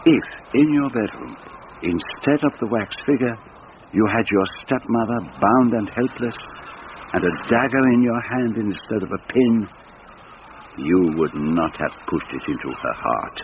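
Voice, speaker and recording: Deep-voiced, measured, self-assured detective. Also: male voice, expressive. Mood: gently reassuring. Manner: measured, deliberate, courteous, theatrical. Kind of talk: radio drama acting, explanatory monologue. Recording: dull lo-fi radio sound, noisy.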